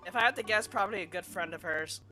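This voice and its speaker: A woman's voice: mid-range voice, fast. A woman game streamer giving casual, informal livestream commentary with hesitant phrasing. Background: loud game music.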